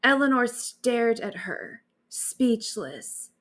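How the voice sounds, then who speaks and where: A woman reading a bedtime story aloud as an expressive storyteller. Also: on the high side, slow.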